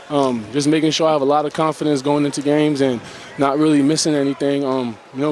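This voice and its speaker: A man's voice: fairly high pitch, slight background noise. Young man answering post-game questions. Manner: relaxed and earnest, with many fillers. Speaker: basketball player interviewed after a game.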